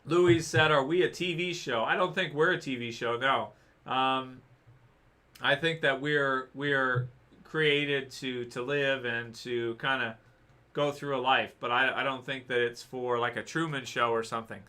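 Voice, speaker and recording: A man with a very bright, forceful tone talks loosely through chat questions on a livestream. He pauses, restarts and fills gaps with "um" and "uh". His voice is wearing thin, as he says he is losing it. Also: mid-range voice, steady tone. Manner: rambling, hesitant, many fillers and restarts. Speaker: livestream host answering chat.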